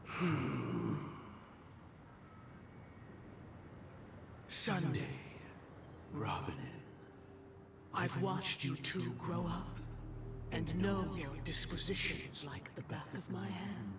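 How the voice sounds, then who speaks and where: An actor playing a lofty, grave old patriarch in a video game, speaking formally and deliberately, with music behind him. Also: male voice, on the high side, very animated, creaky, noisy background, exaggerated voice. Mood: solemn. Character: lofty old male dream master, grave, measured patriarch. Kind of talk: dramatic video game voice acting.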